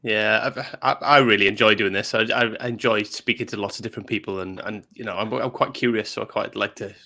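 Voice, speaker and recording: A man's voice: on the low side, steady tone, a little fast, gravelly, pushed and bright. A man hosting a podcast, asking casual questions with friendly curiosity and stammering restarts. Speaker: podcast host.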